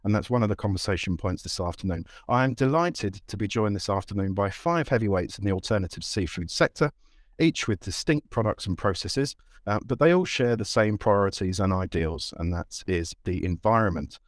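Low-voiced man moderating a panel. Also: expressive, fairly quick, dry recording, clean studio sound. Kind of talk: scripted introduction then moderating.

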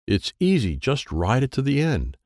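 A man voicing a pushy, matter-of-fact young girl who explains things briskly in a children's story. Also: mid-range voice, wildly swooping pitch, a little mellow, no echo, studio quality, voice pushed up.

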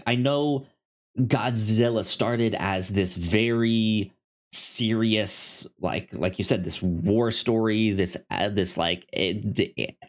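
Slow, halting man chatting about movies. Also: fairly low pitch. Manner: halting, repetitive, enthusiastic fan talk. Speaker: podcast co-host. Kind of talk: casual movie discussion.

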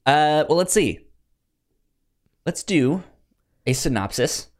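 A man co-hosting a podcast, chatting casually about films in a halting way, often repeating the starts of words. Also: on the high side, animated. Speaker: podcast co-host. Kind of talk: casual film discussion.

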